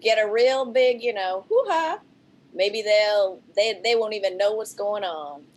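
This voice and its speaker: A woman's voice: mid-range voice, lively intonation, a little slow, darkish tone. A woman riffing playfully in an improvised comedy chat, often laughing at her own absurd lines. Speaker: guest.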